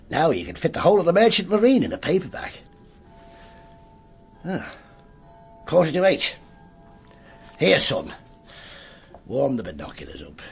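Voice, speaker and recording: Wry English police inspector, creaky voice. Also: male voice, mid-range voice, heavily acted. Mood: wry, reflective. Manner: dry, clipped, colloquial copper's talk. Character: gruff middle-aged male police detective inspector, wry and world-weary. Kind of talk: BBC radio drama acting.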